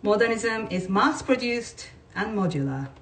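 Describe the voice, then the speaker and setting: A woman reading non-fiction aloud in a measured, deliberate way, like a writer presenting a text. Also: medium pitch, lively intonation, fairly bright tone. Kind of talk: read-aloud of non-fiction with brief informal asides. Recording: voice distant from the mic.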